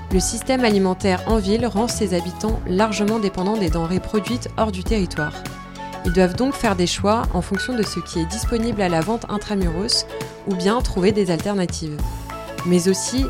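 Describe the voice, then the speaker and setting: A woman hosting a podcast in scripted narration. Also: mid-range voice. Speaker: podcast host.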